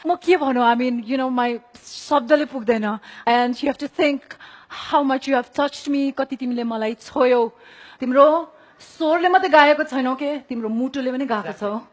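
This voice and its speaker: A woman's voice: high voice, rapid-fire. A woman coach on a TV singing contest giving effusive, pleading, emphatic feedback, mixing Nepali and English. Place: large indoor TV studio stage set. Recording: crowd noise in TV studio.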